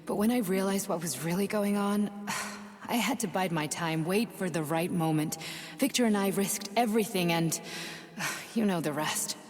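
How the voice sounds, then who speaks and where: A woman's voice: fairly low pitch, normal speed, darkish tone, close to an everyday voice. A woman playing an intense, idealistic rebel neurosurgeon in a video game cutscene, speaking with earnest, emphatic and condescending conviction. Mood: earnest, subdued. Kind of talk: dramatic cutscene acting. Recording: long hall-like reverb.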